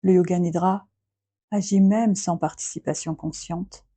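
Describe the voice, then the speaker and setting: Slow, dark, breathy woman guiding relaxation. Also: fairly low pitch, fairly even intonation, no echo. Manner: gentle, unhurried, with long pauses between short phrases. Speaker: yoga nidra guide. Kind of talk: guided relaxation meditation, slow and soothing.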